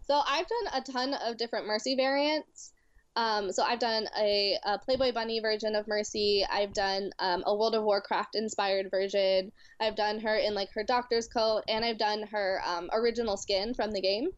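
A woman's voice: medium pitch, steady tone, moderate pace, clean tone, no echo, lo-fi sound. A woman in her twenties from Louisiana, a cosplayer chatting casually as a guest and filling her talk with "like". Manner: chatty, heavy with "like" fillers. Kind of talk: casual chat.

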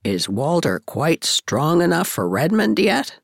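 A blunt old village busybody, acted out by a woman narrator in a storybook, pitched way down and slow. She is forthright and emphatic, and her tune swings widely. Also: animated, no room sound, clean studio sound, full character voice. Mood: concerned, emphatic. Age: older. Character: blunt, opinionated older woman, village gossip and matchmaker.

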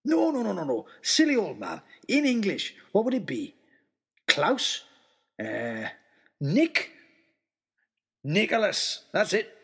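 A man voicing a huge, jolly old man in an audio story, warm, exclamatory and bumbling over names. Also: sits high, huge pitch swings, slow, creaky, pauses often, husky, voice pushed up, heavily acted. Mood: jovial, flustered.

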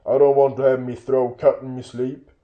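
A man's voice: medium pitch, little pitch movement, moderate pace, muffled recording, lightly acted. A man voicing a brutish, quarrelsome troll in a storybook, grumbling and menacing in coarse rustic speech. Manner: coarse rustic dialect, belligerent.

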